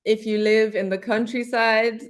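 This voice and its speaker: A young woman hosting a casual online session, talking slowly and often restarting her phrases. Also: a little high, little pitch movement. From Ireland. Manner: slow, restarts phrases, switches between German and English. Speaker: host.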